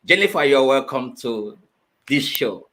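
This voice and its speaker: A man's voice: medium pitch, expressive, a little slow, bright, forceful tone. A man hosting an online interview show, friendly and prone to repeating words and restarting. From Finland. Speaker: show host.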